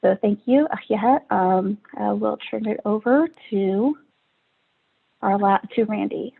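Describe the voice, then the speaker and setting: A woman's voice: mid-range voice, slow pace, creaky, slightly rough. A woman giving an academic presentation as a researcher on a video call. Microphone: video call microphone. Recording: tinny, phone-like video call audio.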